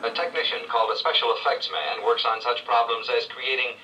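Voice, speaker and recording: A man reading scripted 1970s educational narration with measured, clear storytelling phrasing. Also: medium pitch, sharp tone, distant mic, low-quality recording. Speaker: read-along narrator. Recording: old read-along played back from afar, faint noise.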